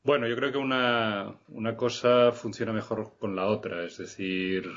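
A middle-aged man from Barcelona, guest on an interview podcast, speaking in a measured, hesitant way with many restarts. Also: on the low side, fairly even intonation, a bit bright. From Barcelona, Spain. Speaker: guest architect. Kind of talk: reflective interview talk. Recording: slightly muffled podcast recording.

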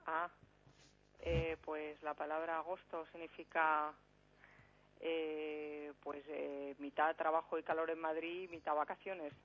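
A woman's voice: low voice, level tone, slow pace. A woman answering a radio host's call and speaking hesitantly, with fillers. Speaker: interviewee by phone. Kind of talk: casual phone call. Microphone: telephone. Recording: lo-fi phone line.

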